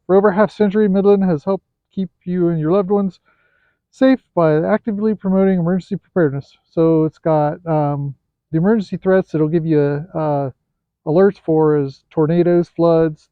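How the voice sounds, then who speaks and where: Man, slightly high pitch, casual product reviewer, hesitant pauses, thin phone-like audio. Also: fairly even intonation, clean tone, no echo. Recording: thin, phone-like, band-limited sound.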